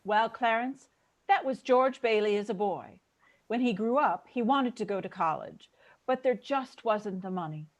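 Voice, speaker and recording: A woman's voice: mid-range voice, moderate pace, lightly acted. Woman playing a kindly, commanding chief angel. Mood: storytelling, instructive. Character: female superintendent of angels, brisk kindly authority. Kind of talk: old-time radio drama acting, storytelling. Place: remote video call recording.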